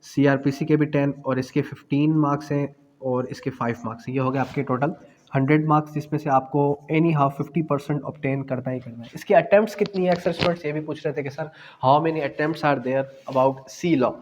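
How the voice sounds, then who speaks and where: A man's voice: a little high, fairly even intonation, brisk, a little mellow. Pakistani male tutor in a reverberant hall. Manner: explanatory, mixes in English phrases, poses questions and answers them. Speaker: law exam tutor. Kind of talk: lecture to exam students.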